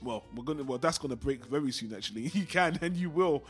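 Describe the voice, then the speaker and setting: A man's voice: medium pitch, animated, fast talker, breathy edge, soft background music. A man co-hosting a casual film discussion, rambling and repeating himself for emphasis. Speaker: podcast co-host.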